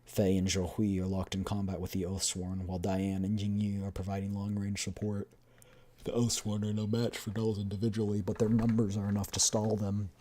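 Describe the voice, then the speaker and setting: A woman's voice: rumbling low, flat delivery, brisk, warm tone. A woman streamer reading a game story aloud as scripted narration. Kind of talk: scripted narration with voiced readthrough.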